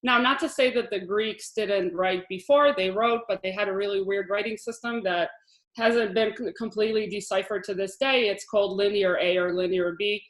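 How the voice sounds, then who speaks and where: A woman in her thirties from Israel teaching a lesson over a laptop video call, explaining in a chatty way with lots of restarts and little laughs. Her voice sits at an ordinary middle pitch for a woman. The room around her is echoey. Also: steady tone, normal speed. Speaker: Hebrew teacher. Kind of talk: online lesson with shared slides.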